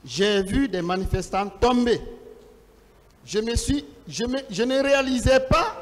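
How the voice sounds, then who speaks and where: A high-voiced man addresses a formal hearing in a big, reverberant room. He speaks very slowly and declaims in short, separated phrases, as a lawyer reading out testimony and questioning. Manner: deliberate, declamatory, pausing between short phrases. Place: large courtroom. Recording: reverberant large courtroom, long echo.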